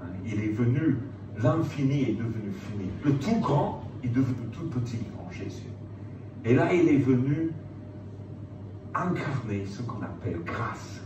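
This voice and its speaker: A man preaching an impassioned Bible sermon, emphatic and repetitive, with rhetorical questions, swinging from hushed phrases to loud ones. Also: medium pitch, animated, a little slow. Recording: roomy, distant mic, clear background noise.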